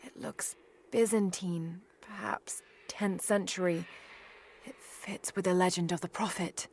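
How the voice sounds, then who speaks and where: A woman's voice: fairly low pitch, steady tone, unhurried, darkish tone. An actress playing a determined young female archaeologist adventurer in a video game. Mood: hushed, intrigued.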